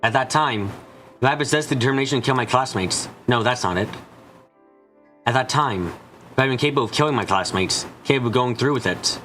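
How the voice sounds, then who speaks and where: A man's voice: mid-range voice, fairly bright tone, close to an everyday voice. A man voicing a brooding young woman who reasons through witches' magic in an introspective visual novel monologue.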